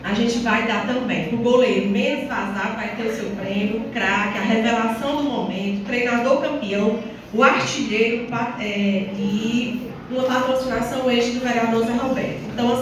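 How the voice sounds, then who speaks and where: A woman with a northeastern Brazilian accent giving a semi-formal, somewhat halting public speech as a town councillor. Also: fairly high pitch. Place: small council chamber, indoors. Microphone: phone or camera several metres away. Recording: distant, very echoey, noisy room recording.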